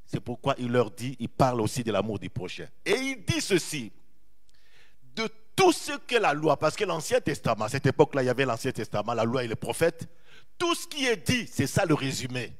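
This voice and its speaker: Animated preaching man, lively pitch. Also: a little fast. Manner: animated preaching, repeats phrases, draws out key words. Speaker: pastor. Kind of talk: sermon.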